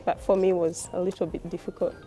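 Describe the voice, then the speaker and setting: A woman's voice: fairly low pitch, level tone, fast. A woman with a Tanzanian accent, a postgraduate student giving an informal interview in an explanatory, conversational way.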